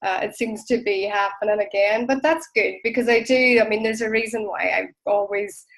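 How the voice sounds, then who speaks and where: A woman's voice: mid-range voice, moderate pace. A young-sounding Irish woman, a podcast guest, chatting casually over a video call, full of likes and ums. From Ireland. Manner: hesitant, lots of likes and ums. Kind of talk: casual interview chat.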